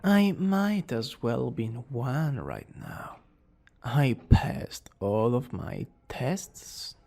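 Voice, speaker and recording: Man acting a cocky, creaky-voiced young villain boyfriend. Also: mid-range voice, very animated, extremely slow, darkish tone, heavily acted. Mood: bragging. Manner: smug, theatrical, teasing. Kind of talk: romantic ASMR roleplay acting.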